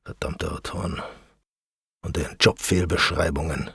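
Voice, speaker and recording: Gravelly, sarcastic narrator played by a man. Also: a bass voice, fairly even intonation, normal speed, fairly bright tone, heavily acted. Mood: indignant. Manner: theatrical, mocking. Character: sarcastic, grumbling narrator who breaks character. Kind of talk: dramatised audio play narration with snide asides.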